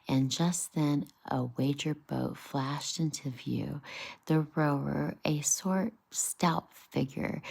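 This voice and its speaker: A woman's voice: low-pitched, gravelly, darkish tone. A woman reading a children's story aloud as an amateur narrator, occasionally stumbling and rereading phrases. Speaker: amateur reader of a children's book.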